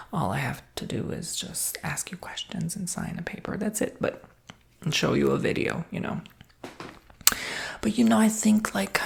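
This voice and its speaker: Low, creaky, soft-spoken ASMR woman playing a sales clerk. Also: exaggerated voice. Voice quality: soft-spoken, partly whispered. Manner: rambling, informal, reassuring. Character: easygoing, reassuring salesperson for household robots. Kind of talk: ASMR roleplay, improvised customer-service chat.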